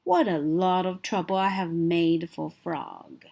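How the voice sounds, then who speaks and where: A woman's voice: slow pace, vocal fry, mellow, exaggerated voice. A woman voicing a fussy, grumpy male toad in a children's storybook read-aloud, complaining in a raised voice. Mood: fretful. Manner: high, complaining and exasperated.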